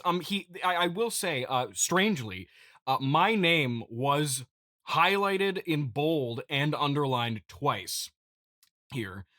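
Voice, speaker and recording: Male comedy host, bright, forceful voice in a dry recording; plays a petty, self-important company boss, rambling through an improvised address. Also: on the high side, no echo. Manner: mock-pompous, halting and rambling with repeated phrases. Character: smug, petty billionaire CEO boasting to investors. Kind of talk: improvised comedic monologue, mock-corporate address.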